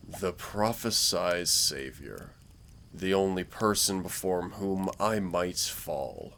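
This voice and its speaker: A man playing a smug, taunting dark warlord villain in a roleplay audio drama, speaking in a theatrical, dryly mocking way. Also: sits low, gravelly, slight background noise, full character voice. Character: dark warlord villain, younger-looking, sardonic, smug, taunting Lord of Nightmares. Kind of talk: roleplay audio drama, intimate scripted monologue.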